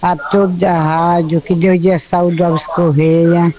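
A woman's voice: fairly low pitch, little pitch movement, slow pace, a crowd in the background. A woman calling into a radio show and offering fervent blessings. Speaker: caller.

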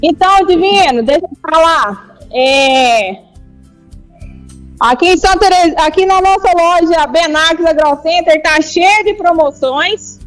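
A woman's voice: extremely high pitch, a little slow, soft background music, poor audio. A woman from Goiás with a Goiás accent, a store representative talking in a casual rural way as a radio guest. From Goiás, Brazil. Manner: rural colloquial speech.

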